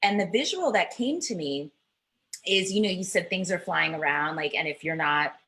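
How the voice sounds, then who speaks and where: A woman's voice: lowish voice, lively intonation, normal speed, pushed and bright. A woman hosting a live online interview over a video call, reflectively summing up her guest's points. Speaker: host.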